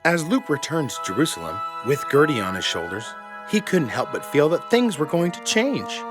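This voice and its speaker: A man's voice: fairly high pitch, very animated, normal speed. A man narrating a scripted story in a dramatic, suspenseful way. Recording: loud music behind the voice.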